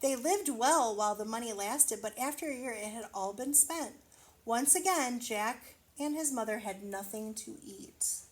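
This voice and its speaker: A woman reading a storybook aloud to children, expressively, pausing to wonder aloud and explain things simply. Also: on the high side. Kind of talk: children's read-aloud with asides to the listener.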